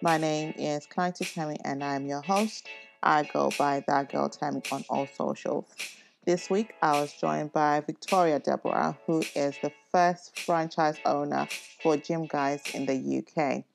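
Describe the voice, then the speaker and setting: A woman hosting a podcast, chatting casually with a guest, quick with laughs and rising questions. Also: deep voice, fairly even intonation, gravelly, faint music behind. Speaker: podcast host.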